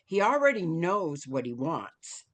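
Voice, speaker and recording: A woman reading screenplay stage directions aloud as scripted narration, with dramatic, deliberate phrasing. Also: deep voice, very animated.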